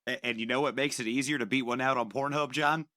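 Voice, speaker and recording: A man's voice: medium pitch, fairly even intonation, fast talker, pushed and bright, dry recording. A man in his thirties, a podcast co-host, bantering casually with dry, profane wisecracks.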